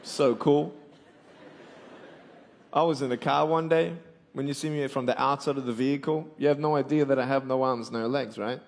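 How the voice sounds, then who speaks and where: A man's voice: medium pitch, fairly even intonation, moderate pace, pauses often, a little hiss or hum. A man in his twenties with an Australian accent, giving a joking, motivational sermon to a live audience and calling for responses. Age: 20s. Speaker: evangelist speaker. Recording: long hall reverb.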